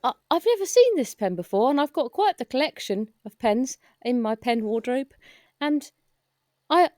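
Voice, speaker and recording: A woman's voice: high-pitched, a little mellow, no echo, studio quality. A woman playing a timid, deferential hospital porter with a shady past in a comic audio drama, stammering politely.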